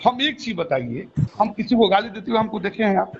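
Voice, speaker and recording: Man on a muffled phone recording, high pitch, Bhojpuri-region Hindi accent, argumentative, keeps cutting in. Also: sing-song, fairly quick, slight background noise. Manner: interrupting, repeatedly demands to be heard.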